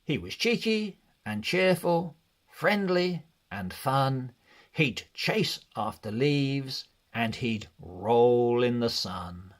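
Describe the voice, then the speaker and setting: Very slow, lively man reading a storybook. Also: mid-range voice. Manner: expressive, deliberate, measured delivery. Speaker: children's story reader. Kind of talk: read-along storybook narration.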